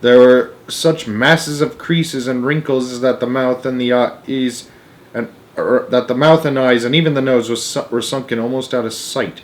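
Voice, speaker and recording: A man narrates a children's book aloud in an expressive amateur style, sometimes tripping over words and correcting himself. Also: medium pitch, moderate pace.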